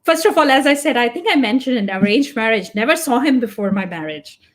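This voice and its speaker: A woman whose first language is Punjabi, answering as a podcast guest. Her voice sits a little on the high side. She talks earnestly and reflectively, thinking aloud as she goes. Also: lively intonation, a little fast. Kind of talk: casual interview talk.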